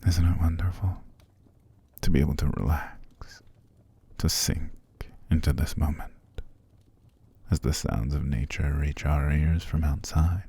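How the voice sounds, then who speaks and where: A man playing a possessive, seductive vampire master in an ASMR roleplay, calm and commanding, slow and formal, often sinking to a gravelly whisper. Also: rumbling low, full character voice. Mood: dreamy, wistful. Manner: slow, formal, soothing but controlling.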